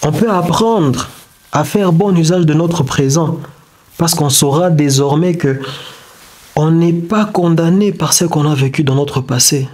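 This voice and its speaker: A man whose first language is not French gives a reflective motivational talk, halting and searching for words with many restarts. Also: a little high, a little mellow. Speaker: self-development video creator. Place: indoors beside a whiteboard diagram.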